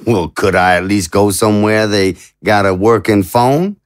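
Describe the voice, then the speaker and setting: A man's voice: fairly low pitch, moderate pace, clear, resonant tone, dry recording, studio quality, natural voice. A man playing an indignant president in an audio drama. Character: indignant male president held against his will.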